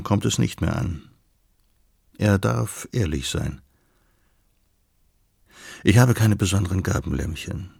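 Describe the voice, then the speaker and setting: A brooding male literary narrator in a radio play. He speaks slowly and intimately in a husky, gravelly voice. The sound is close and dry. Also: lowish voice, a little mellow, dry recording, full character voice.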